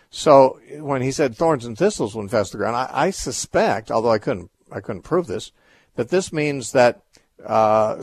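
A man's voice: mid-range voice, slightly rough, no echo. A man hosting a radio call-in show, answering Bible questions in a thoughtful, explanatory way with frequent restarts.

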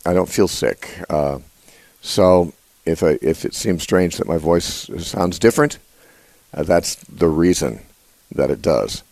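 A man hosting a live call-in Bible radio show, congested, talking haltingly with many fillers and restarts. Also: lively intonation, vocal fry, a little mellow, no room sound. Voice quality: usually a high nasal voice. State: congested, voice lower than usual.

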